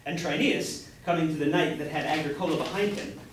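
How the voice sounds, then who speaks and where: A man's voice: a little high, brisk, natural voice. A man playing an earnest young grocer's apprentice, grandly reciting a chivalric romance in a staged reading. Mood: rapt, heroic. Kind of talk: amateur staged reading of a period comedy. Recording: echoey room from far off, faint noise.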